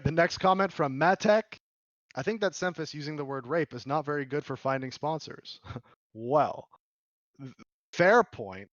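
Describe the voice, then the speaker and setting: Slightly hoarse man hosting casually. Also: medium pitch, no room sound, highs cut off. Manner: laughs often, chatty with filler words. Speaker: podcast host. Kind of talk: casual podcast chat.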